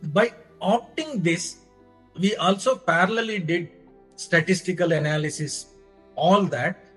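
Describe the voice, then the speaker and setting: An Indian-accented man delivers a technical lecture in a fairly high voice. He speaks slowly and deliberately, laying out each step in short phrases. Faint music sits in the recording. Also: a bit bright, slightly rough. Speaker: lecturer presenting own engineering research.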